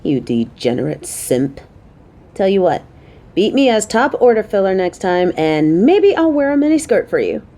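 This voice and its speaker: Woman acting a playful, motherly coworker with mellow voice. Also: mid-range voice, sing-song, moderate pace. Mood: flirty teasing.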